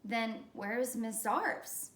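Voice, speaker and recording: A woman's voice: fairly high pitch, slow pace, a bit bright. A woman voicing an easygoing, slightly muddled male yard teacher, casual and reassuring, in a storybook reading. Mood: explaining. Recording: echoey room.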